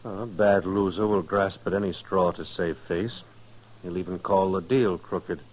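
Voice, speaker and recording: Low-voiced courtly gentleman gambler. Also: steady tone, a little slow, a little hiss or hum, low-quality recording. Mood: self-assured. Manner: formal, precise, touchy about honor. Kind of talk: 1950s radio western acting.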